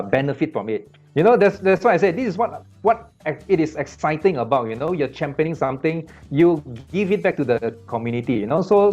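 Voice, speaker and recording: A man from Malaysia speaks casually on a business panel, at an ordinary pitch. He often stalls on repeated words and fills the gaps with "uh". The sound is dull and lo-fi, with faint music in the background. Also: a little mellow. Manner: halting, many repeated words and fillers.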